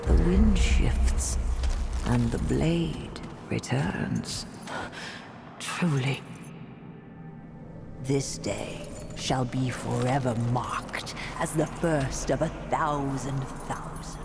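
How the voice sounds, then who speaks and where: Very low, imperious fantasy empress. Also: female voice, very slow, creaky, loud music behind the voice, heavily acted. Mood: triumphant, solemn. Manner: imperious, ceremonious, speaking in royal proclamations. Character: regal eternal empress, imperious female ruler. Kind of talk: theatrical fantasy game acting.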